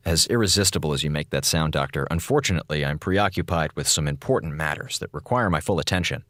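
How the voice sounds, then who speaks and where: An actor playing a weary, sarcastic communications officer on a space station in a radio drama, wry and melodramatic. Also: male voice, a bass voice, lively intonation, fast, fairly bright tone, dry recording, studio quality. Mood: evasive. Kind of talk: radio drama acting, log narration.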